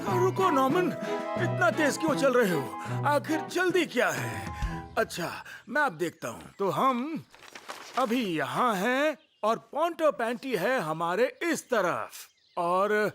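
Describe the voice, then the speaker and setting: A man's voice: very high voice, huge pitch swings, slow, a bit bright, full character voice. A man playing a boastful, cheerful, bumbling uncle who leads children's trips in a cartoon dub, blustering and flustered. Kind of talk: animated cartoon dub acting. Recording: music behind.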